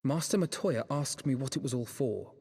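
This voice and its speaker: A weary, reflective adventurer from a video game, speaking in a husky, medium-pitched voice. The delivery is measured and sombre, carried in a long reverb. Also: male voice, a little mellow. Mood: wistful, sombre. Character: weary, reflective adult male adventurer, quietly grieving. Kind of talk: dramatic game voice acting.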